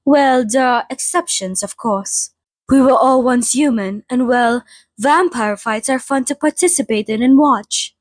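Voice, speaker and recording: A woman playing a polite, chatty old vampire being interviewed in an ASMR roleplay, speaking in a slightly formal, gently wry way. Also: fairly high pitch, unhurried, no echo. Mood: wry, gossipy. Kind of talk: ASMR roleplay, in-character monologue to listener.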